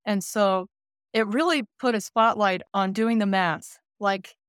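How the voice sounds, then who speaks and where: A woman in her late sixties, a care manager and counselor, speaking gently and thoughtfully in an interview, often pausing to find her words. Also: mid-range voice, clear, resonant tone, no echo, clean studio sound. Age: 60s. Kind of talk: reflective interview conversation.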